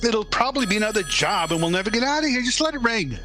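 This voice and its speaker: A man's voice: high-pitched, animated, quick pace, over music. A man playing a nervous, reluctant working-class morgue attendant in an old-time radio drama, colloquial and stammering. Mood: alarmed. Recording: noisy, humming background.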